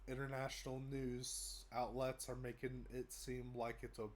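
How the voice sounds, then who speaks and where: A congested young man from the United States, stuffy from a cold. He talks to the camera in a loose, rambling way, with plenty of ums, uhs and restarts, and his pitch sits in an ordinary middle range. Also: level tone, moderate pace, a little hiss or hum. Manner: rambling, many fillers and restarts. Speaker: vlogger. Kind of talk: casual update talk to camera.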